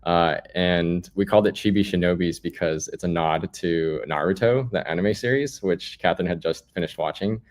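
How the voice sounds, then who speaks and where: A man with a very deep voice talks as a guest in an interview. He recounts his experiences in a casual, explanatory way, as if chatting through a story. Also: normal speed, hardly any pauses. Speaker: guest, startup founder.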